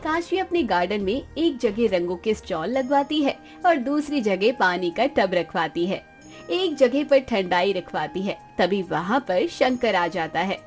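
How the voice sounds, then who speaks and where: A woman's voice: mid-range voice, lively intonation, measured pace, faint music behind. A woman reading scripted narration for a moral story as its narrator.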